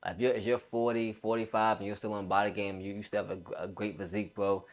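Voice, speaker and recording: A man's voice: on the low side, level tone, quick pace, muffled recording. A man in his late twenties giving a casual motivational talk as a fitness coach, emphatic, repeating phrases and using informal bro slang.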